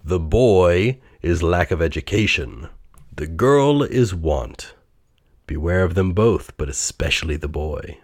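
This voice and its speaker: A man voicing a stern spirit in a storybook, speaking slowly and gravely with warning. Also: low voice, animated, dry recording, clean studio sound, pitched below the performer's own voice, exaggerated voice.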